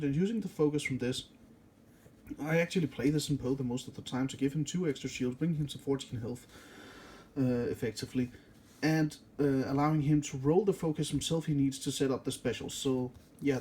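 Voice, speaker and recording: A man's voice: fairly high pitch, warm tone, slight background noise. A man with a Danish accent, a native Danish speaker, casually walking through a card game deck, rambling and correcting himself often. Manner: rambling, self-correcting, many fillers.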